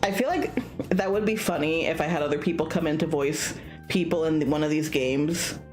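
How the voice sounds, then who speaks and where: A woman streamer with a playful, animated manner, reading a visual novel aloud with casual asides. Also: low voice, normal speed, faint music behind.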